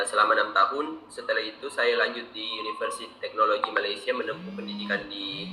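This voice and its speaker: Bright-voiced Indonesian man, roomy room. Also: fairly low pitch, slight background noise. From Makassar, South Sulawesi, Indonesia. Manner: measured, methodical, pauses with fillers. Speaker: interviewee, engineer. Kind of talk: formal interview answers. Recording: roomy, distant mic, faint ambience.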